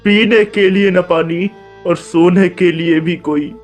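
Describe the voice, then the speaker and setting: A man's voice: high-pitched, fairly even intonation, takes it slowly, soft background music. A man voicing a poor, worn-down young village man who laments and pleads. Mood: despairing.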